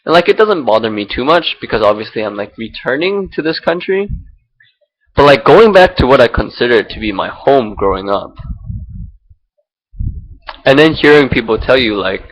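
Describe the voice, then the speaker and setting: A young man from Singapore thinks out loud into his laptop's built-in mic. He talks without a script, in a rambling, hesitant way, circling back with fillers and restarts. His voice sits at an ordinary middle pitch for a man. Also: lively intonation, moderate pace, pauses often, rough recording. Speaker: vlogger. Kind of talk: unscripted personal reflection.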